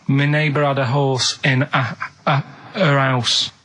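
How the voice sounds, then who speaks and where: A man's voice: mid-range voice, level tone, measured pace, fairly bright tone. A man co-hosting a radio show, rambling casually and defensively through his own quiz feature. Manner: defensive, colloquial. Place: radio studio.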